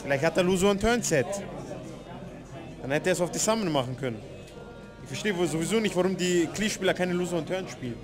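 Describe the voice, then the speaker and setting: A young man co-commentating a card game while feeling under the weather, making quiet, thoughtful remarks. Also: high voice, fast, pauses often, over music. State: under the weather, low energy.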